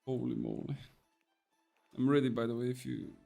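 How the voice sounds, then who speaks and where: A young man streaming a game, excitably commenting, repeating words and calling people bro. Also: mid-range voice, normal speed, gravelly, faint music behind. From Bulgaria. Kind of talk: casual gameplay commentary.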